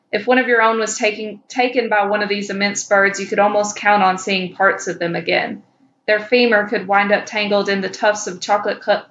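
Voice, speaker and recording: A woman reading a somber, measured first-person story as a small, cute wild creature who recalls its cursed kind. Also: medium pitch, fairly bright tone, close to an everyday voice. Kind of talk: scripted first-person fan fiction reading. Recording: muffled, roomy, noisy home recording.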